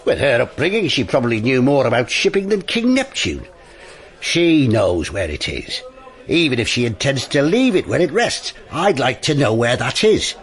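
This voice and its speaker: Wry broody police inspector, radio drama, dry and thoughtful, really up and down delivery. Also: male voice, mid-range voice, big pitch swings, normal speed. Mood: musing, emphatic. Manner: dry, musing, sardonic. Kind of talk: BBC radio drama acting.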